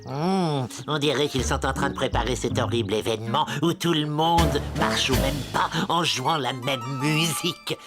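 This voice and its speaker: A man's voice: medium pitch, normal speed, few pauses, over music, lightly acted. A man voicing a grumpy, pompous male goblin villain who schemes and bosses theatrically in a French cartoon dub.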